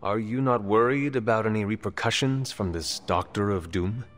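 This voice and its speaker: A man's voice: on the low side, long reverb tail, faint music behind, lightly acted. A man playing an earnest, composed warrior hero in a video game, formal and polite. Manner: formal, measured, polite, without contractions. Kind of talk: dramatic video game dialogue.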